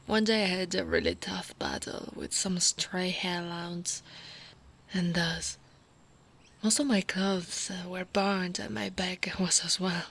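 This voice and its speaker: A woman playing an affectionate, dominant yet gentle female orc royal guard in an intimate, often whispered ASMR roleplay. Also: lowish voice, fairly even intonation, gravelly, full character voice. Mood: fond, amused. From Italy. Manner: slow, teasing, tender, often dropping to a whisper.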